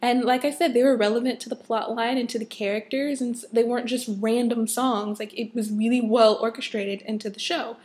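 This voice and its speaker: A young adult woman with a slightly hoarse, husky voice, giving a casual, emphatic rant as a vlogger. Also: on the high side, steady tone, a little fast, few pauses, a little mellow. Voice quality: a bit husky. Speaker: vlogger, fan of a TV show.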